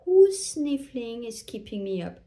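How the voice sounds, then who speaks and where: A woman's voice: on the high side, big pitch swings, a little slow, mellow. A woman voicing a grumpy owl with a dismissive, impatient manner in a children's storybook. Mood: irritated. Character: grumpy, tutting owl roused from sleep.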